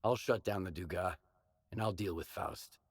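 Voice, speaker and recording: A man's voice: deep voice, normal speed, dry recording. An actor playing a tough, unflappable male mercenary hero in a video game, laconic, dry and self-assured.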